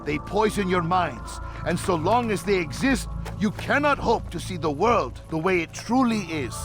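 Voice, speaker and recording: An actor playing an arrogant, zealous leader who orders books burned, declaiming with menace in a video game cutscene. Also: male voice, high voice, lively intonation, normal speed. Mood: scornful preaching. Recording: music under the voice.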